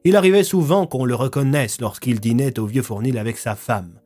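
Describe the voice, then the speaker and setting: A man's voice: mid-range voice, fairly quick, warm tone, soft background music. A man narrating a scripted audio fiction story.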